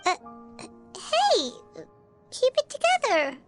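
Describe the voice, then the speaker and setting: A woman voicing a small, cheeky, childlike fairy companion in a video game, with a squeaky voice. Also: huge pitch swings, takes it slowly, soft background music, heavily acted. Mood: startled.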